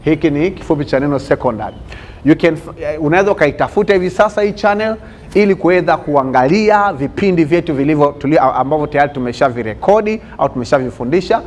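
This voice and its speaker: A man with a Tanzanian accent speaks as a teacher introducing an online lesson, deliberately putting each point across and then repeating it in translation. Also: fairly high pitch, animated, darkish tone. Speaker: online secondary school teacher. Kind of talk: bilingual lesson introduction, alternating English and Swahili. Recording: clear background noise.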